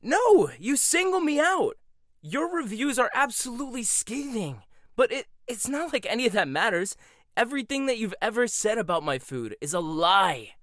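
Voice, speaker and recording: Man as a combative young chef, lively sarcastic delivery, dry close sound. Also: very high voice, normal speed, dry recording, heavily acted. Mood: defensive. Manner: sarcastic, confrontational. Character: proud combative young male head chef. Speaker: voice actor. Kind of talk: audio roleplay.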